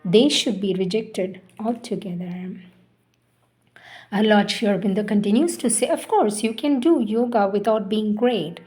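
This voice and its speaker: A woman giving a solemn devotional reading of spiritual teachings, deliberate and emphatic, pausing between phrases. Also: medium pitch, darkish tone.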